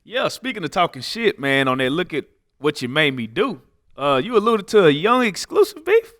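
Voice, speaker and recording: Guy interviewing somebody, forceful bright voice, super casual and slangy. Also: a little high, sing-song, moderate pace. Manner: slangy, informal.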